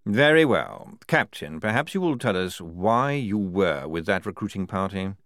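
A man's voice: lowish voice, very animated, a little slow, a bit bright, no echo, clean studio sound, pitched above the performer's own voice. A man voicing an irritable, commanding army general in an audiobook, speaking in a curt, authoritative way. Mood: weary, condescending. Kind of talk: audiobook character dialogue.

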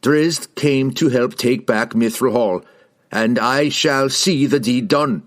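A man's voice: mid-range voice, pitched above the performer's own voice, natural voice. A man voicing a stern, stubborn young male barbarian warrior in an audiobook, speaking bluntly and evenly. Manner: measured, blunt, even. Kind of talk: audiobook character voice.

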